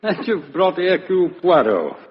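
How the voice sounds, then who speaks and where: An actor playing a brisk British police doctor, dry and emphatic as he explains, in a 1950s radio play. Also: sits high, big pitch swings. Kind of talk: 1950s radio drama acting. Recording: muffled, echoey 1950s radio recording.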